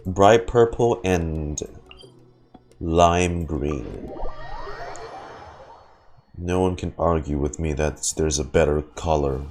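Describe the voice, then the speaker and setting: A man's voice: extremely low pitch, slow pace, pauses often, darkish tone, a little hiss or hum. A man giving casual commentary as he streams a video game and reacts to it.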